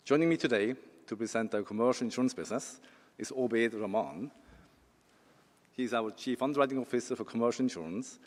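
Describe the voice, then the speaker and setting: A man with a Swiss accent giving a corporate presentation as an insurance executive, with some dry humor and a few fillers. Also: on the low side. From Switzerland. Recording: long hall reverb.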